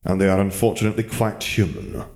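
A man voicing a cold, calculating spirit mentor for an audiobook, speaking in a measured, deliberate way with quiet intensity. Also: big pitch swings, creaky, heavily acted. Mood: dry, matter-of-fact. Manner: measured, low-key, deliberate. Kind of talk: audiobook character voice.

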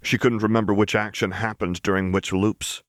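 A man reading an audiobook, narrating dramatic fiction in a measured storyteller's delivery. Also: lowish voice, little pitch movement, no echo, clean studio sound.

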